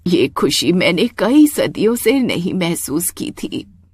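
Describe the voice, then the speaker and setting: Woman as old storybook witch, female, low-pitched, slow and halting, sad, gentle, lonely, dry close sound. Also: very animated, husky, dry recording, heavily acted. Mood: weary, moved. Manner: slow, halting, weary delivery.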